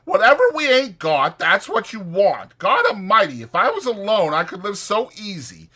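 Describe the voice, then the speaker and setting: A man voicing an irritable, bossy, sharp-tongued migrant farmhand for an audiobook, with brusque, exasperated, rustic speech. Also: high voice, sing-song, normal speed, voice pushed up, full character voice. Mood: exploding, resentful.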